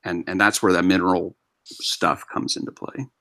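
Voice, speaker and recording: A man explains ideas with eager energy in a casual online discussion. His voice is low and gravelly with a bright edge. The sound is dry and close. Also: little pitch movement, moderate pace, dry recording. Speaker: debate guest.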